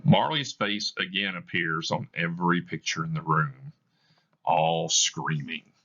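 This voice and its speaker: A man reading stage directions aloud as scripted narration, with measured, deliberate phrasing. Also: sits low, pushed and bright, lo-fi sound. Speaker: reader of a play for students.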